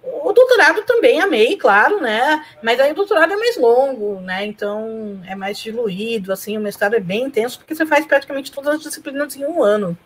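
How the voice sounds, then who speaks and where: A talkative woman from Argentina, Spanish her first language, with a fairly high voice. She rambles warmly through memories, laughing often and checking in with little tags. Recorded over a video call from a room at home. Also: animated, brisk, hardly any pauses, a little hiss or hum. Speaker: guest, professor and blogger. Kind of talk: casual interview chat.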